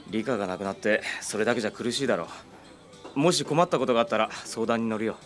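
A man as a kind, quick-talking young man. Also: fairly low pitch, soft background music, close to an everyday voice. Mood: caring. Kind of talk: radio drama acting.

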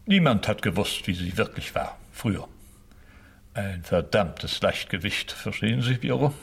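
Gravelly, gruff military gentleman. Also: fairly low pitch, animated, measured pace, heavily acted. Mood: bitter. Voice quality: gravelly, husky. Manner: blunt, clipped phrases. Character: gruff, worldly military gentleman with bitter candour. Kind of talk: radio play acting.

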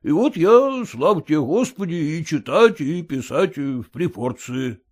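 A man's voice: fairly high pitch, lively intonation, unhurried. A man voicing a humble, plain-spoken male shop clerk in a story reading. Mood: earnest.

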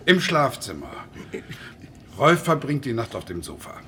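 Bossy older man, animated delivery. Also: medium pitch, a little slow, slight background noise. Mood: firm, insistent. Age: 60s. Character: stubborn widowed pensioner, bossy amateur hero. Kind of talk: radio crime drama acting.

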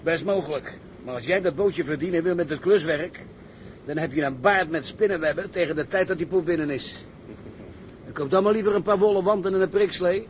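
Man acting sober sailor, sceptical, wry, plain-spoken, slightly high pitch, seafaring drama, light background noise. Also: a little fast, lightly acted, rough recording. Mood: wry doubt. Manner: wry, down-to-earth. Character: sober, sceptical male ship's engineer, wry crewmate.

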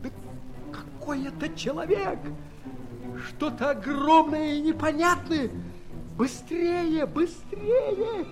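An actor playing a restless, adventurous ship's doctor and traveler in a classic audio play. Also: male voice, extremely high pitch, slow pace. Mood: panicked. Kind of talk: Soviet audio play acting of a classic tale. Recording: vintage mono record, music behind.

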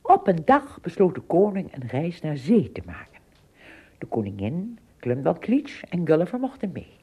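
A woman narrator tells a story for a radio drama. Her lowish voice is mellow and husky, with a very lively melody. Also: moderate pace.

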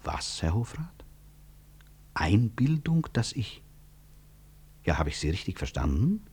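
A man's voice: on the low side, big pitch swings, unhurried, a little mellow, husky, heavily acted. An actor playing a proud, principled middle-aged medical professor in a German radio play, formal and emphatic in his delivery. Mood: indignant, incredulous.

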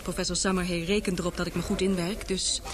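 A woman's voice: fairly low pitch, little pitch movement, fast talker, faint music behind, lightly acted. An actress playing a spirited, prickly young woman scientist in an old-fashioned radio play, articulate and self-assured. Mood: curt, irritated.

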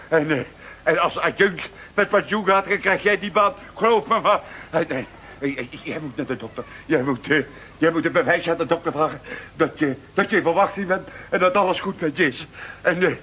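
An actor in a radio drama playing a greedy, excitable, meddling middle-aged father-in-law. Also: a little high, a little hiss or hum. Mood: scheming, flustered.

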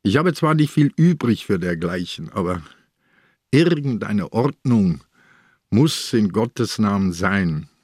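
An ageing Swiss police inspector in a radio crime drama. The voice is fairly low and closely recorded without room sound, dry and chiding in manner, shrewd and matter-of-fact. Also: male voice, animated, slow pace, darkish tone, breathy edge, dry recording, full character voice. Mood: grumbling, chiding. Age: older. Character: old ailing Bernese police inspector, dry, grumbling, shrewd.

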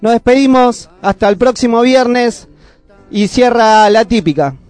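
A man's voice: very high-pitched, unhurried, darkish tone, dry recording. An Argentine man co-hosting a community radio show in a casual presenting style. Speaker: radio co-host.

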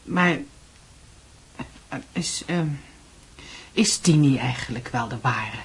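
An actress playing a caring middle-aged aunt in a radio drama, hesitant and gently admonishing, with a Netherlands Dutch accent. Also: female voice, a bass voice, animated, slow, breathy edge, heavily acted. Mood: concerned, admonishing.

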